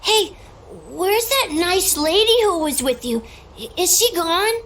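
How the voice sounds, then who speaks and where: An actor voicing an excitable young child villager in a video game, eager and childlike, with music behind. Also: extremely high pitch, a little slow, slight background noise, exaggerated voice. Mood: curious.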